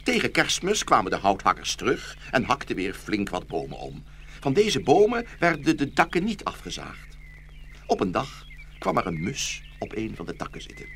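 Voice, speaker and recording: A man's voice: mid-range voice, huge pitch swings, moderate pace, fairly bright tone. Male narrator for children's fairy tale, hugely varied intonation, slightly noisy recording. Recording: faint background noise.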